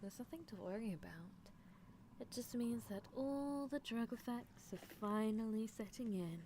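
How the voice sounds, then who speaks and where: A woman's voice: fairly high pitch, moderate pace, mellow, slight background noise, lightly acted. A woman playing a doting, possessive young female mad scientist in an ASMR roleplay, coaxing and theatrical, slipping toward whispers. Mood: soothing, possessive.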